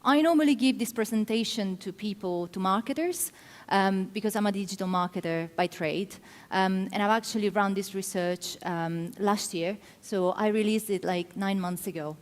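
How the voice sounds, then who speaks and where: A woman's voice: medium pitch, moderate pace. A middle-aged woman from Italy with an Italian accent, giving a conference talk and explaining her points with frequent ums. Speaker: conference speaker. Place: large conference hall. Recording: hall with long reverb.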